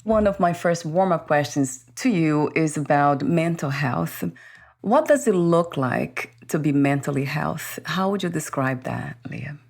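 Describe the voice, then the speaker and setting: A woman interviewer asking questions in a calm, measured way. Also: low-pitched, vocal fry. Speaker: interview host.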